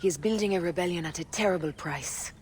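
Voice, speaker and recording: A woman playing a stern, resolute assassin of ancient Egypt in a video game, speaking in a measured, serious way. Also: fairly low pitch, slight background noise, natural voice. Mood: grave warning. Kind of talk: dramatic video game acting. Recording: loud music over the dialogue.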